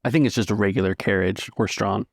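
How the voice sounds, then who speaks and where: A man's voice: fairly low pitch, fast, no echo, clean studio sound. A man narrating a tabletop roleplaying game as its game master.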